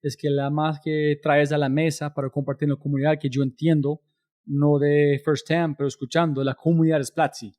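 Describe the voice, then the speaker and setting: A man hosts a casual interview in a friendly tone, with a slightly higher voice. He asks long questions that wander before landing. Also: flat delivery, moderate pace, darkish tone. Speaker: interview host.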